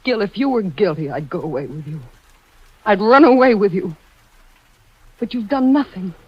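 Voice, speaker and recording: A woman's voice: mid-range voice, animated, normal speed, husky, dry recording. A woman playing an anxious, devoted wife turned amateur sleuth in old-time radio suspense, with breathless, melodramatic urgency. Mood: pleading, desperate. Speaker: actress narrating and playing the lead. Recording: muffled vintage radio recording with music.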